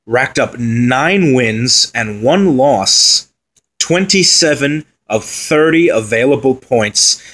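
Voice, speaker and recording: A man's voice: medium pitch, fairly bright tone. Casual man previewing a football side. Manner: conversational, with fillers and asides. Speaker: football pundit. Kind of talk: solo commentary on team previews.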